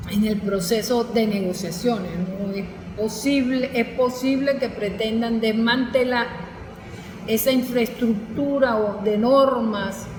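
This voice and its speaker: Female Venezuelan academic commentator, slightly high voice, reflective and halting, in a noisy reverberant room. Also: fairly even intonation. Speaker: university speaker. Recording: echoey hall with background noise.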